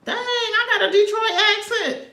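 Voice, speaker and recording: A woman's voice: very high-pitched, steady tone, normal speed, bright, forceful tone. Chatty woman streaming from an echoey room. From New York, raised in North Carolina. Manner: chatty, drifts off topic, chuckles often. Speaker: social media commentator. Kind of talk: casual livestream commentary.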